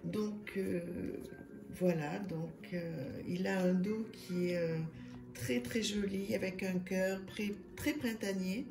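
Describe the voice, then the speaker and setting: A woman with a slightly hoarse, gravelly voice talks through her card decks, pausing often on little fillers. Her voice rings in an echoey room. Music plays clearly underneath. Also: mid-range voice, steady tone. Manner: soft, hesitant, many fillers. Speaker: tarot and oracle channel host. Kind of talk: casual card deck review.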